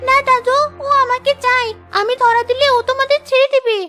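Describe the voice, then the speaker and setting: A woman's voice: very high voice, little pitch movement, brisk, sharp tone, soft background music. A woman voicing an innocent, earnest little fairy girl in a cartoon fairy tale. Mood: desperate. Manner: childlike, earnest.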